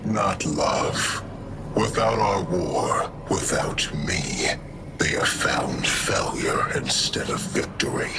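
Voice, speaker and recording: A man's voice: deep voice, lively intonation, slow pace, heavy background noise. A man playing a proud, menacing undead alien war god in a video game, brooding and disdainful, with formal speech. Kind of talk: dramatic video game dialogue.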